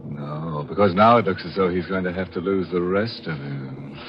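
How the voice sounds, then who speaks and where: A man playing a gleefully macabre, punning horror host on 1940s radio, with a mock-sinister, drawn-out delivery. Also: low voice. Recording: dull vintage 1940s radio recording.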